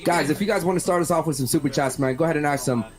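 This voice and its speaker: A man's voice: a little high, very fast, darkish tone. A man hosting a podcast, chatting casually and peppering his talk with slangy asides like a buddy. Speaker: podcast host. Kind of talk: casual live-stream talk show chat.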